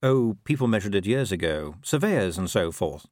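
A man voicing a wily, urbane ruler of a city in an audiobook, measured, deadpan and ironic. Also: on the low side, no room sound, studio quality. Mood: calm, knowing.

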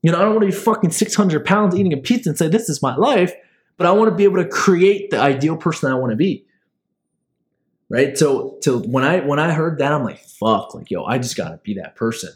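A young business owner hosting a podcast, talking casually and earnestly, with frequent swearing and motivational advice. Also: male voice, fairly high pitch, expressive, fairly quick. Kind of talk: casual chat.

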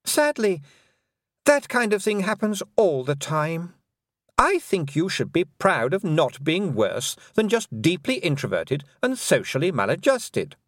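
A man's voice: on the high side, sing-song, measured pace, fairly bright tone, dry recording, clean studio sound, voice pushed up. A man reading an audiobook, voicing a cruel female fairy queen who talks down to a girl in a sweet, mocking, condescending way. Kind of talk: audiobook character voice.

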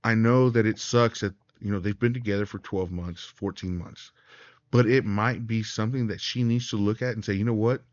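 Podcast co-host, man, low voice, leads the chat; dull and dry recording. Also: steady tone, normal speed, no echo. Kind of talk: casual chat.

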